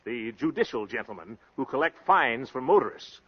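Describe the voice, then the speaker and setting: An actor playing a blustering, self-important married show-off with flowery, pompous speech in a 1930s radio comedy-drama. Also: male voice, mid-range voice, animated, moderate pace, slightly rough. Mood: boastful. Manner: pompous, flowery wording, stalling and chuckling. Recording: dull old 1930s radio recording.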